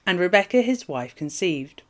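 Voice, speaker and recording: A woman with a low voice reads from the Bible as a narrator. Her delivery is formal and measured, set out in short, solemn phrases. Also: very animated. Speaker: Bible reader. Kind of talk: scripture reading, formal narration.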